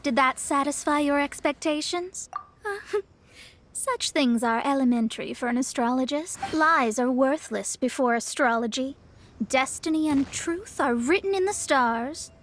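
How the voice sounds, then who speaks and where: A woman's voice: sits high, animated, measured pace, a little hiss or hum. A young woman voicing a proud female astrologist who speaks in formal, lofty words with a haughty air.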